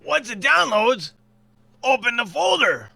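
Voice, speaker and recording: A man's voice: very high voice, sing-song, unhurried, vocal fry, far from the mic. A man presenting an upbeat tutorial as an instructor, with goofy, exaggerated exclamations and asides.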